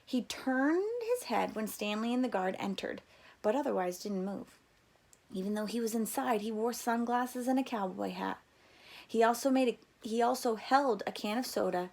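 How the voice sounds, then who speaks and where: A woman reading a children's novel aloud as a teacher, with expressive storytelling delivery. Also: medium pitch, gravelly.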